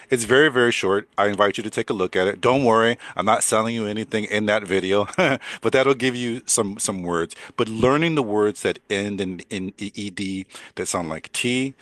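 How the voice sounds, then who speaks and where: An older man with a deep voice teaching English in a chatty way, repeating words and laughing often. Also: a bit bright, dry recording. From United States. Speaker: English coach. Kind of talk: casual teaching conversation.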